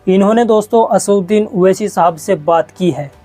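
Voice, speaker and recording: A man's voice: high voice, normal speed, dark tone, no room sound. A man presenting news informally to viewers, explaining and rephrasing his points as he goes. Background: background music.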